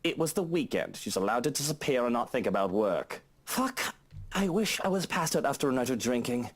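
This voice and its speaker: A man's voice: mid-range voice, sing-song, brisk. A man voicing a sleepy, sarcastic young man who bosses his staff around with exasperated remarks.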